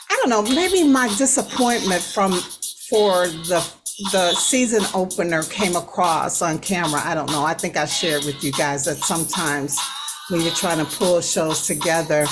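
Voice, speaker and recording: A woman hosting an online radio show, chatting directly to her audience and commenting casually on the news. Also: medium pitch. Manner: conversational, addresses audience directly. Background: music under the voice.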